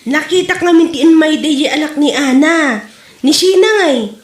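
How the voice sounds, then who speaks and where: A woman's voice: sits high, lively intonation, moderate pace. A woman playing a nosy, gleeful married village gossip with an Ilocano Filipino accent in a comedy audio drama. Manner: gossipy, mocking. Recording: roomy, echoing audio.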